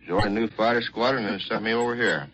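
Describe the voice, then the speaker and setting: A man's voice: lowish voice, quick pace. An American man, a military pilot, giving a plain, matter-of-fact account in a 1940s radio interview. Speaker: army fighter pilot, colonel being interviewed. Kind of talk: 1940s radio interview, recounting war experience. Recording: muffled vintage radio with loud crowd behind.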